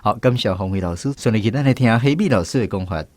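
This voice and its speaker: Male radio host for a language lesson: low voice, lively intonation, Taiwanese accent. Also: fast talker, no room sound.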